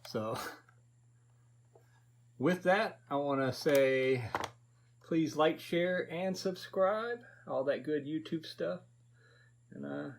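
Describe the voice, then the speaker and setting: A man's voice: a little high, creaky. A man in his fifties casually narrating a repair to viewers, thinking aloud in a folksy way. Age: 50s. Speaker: host of a repair video channel.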